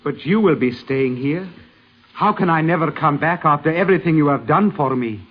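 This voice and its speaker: An actor playing an earnest, grateful young miner turned scholar, speaking solemnly and haltingly in formal phrases in a radio drama. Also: male voice, a little high, animated, slightly rough. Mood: hurt, bewildered. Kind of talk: 1950s radio drama acting. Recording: muffled vintage radio recording.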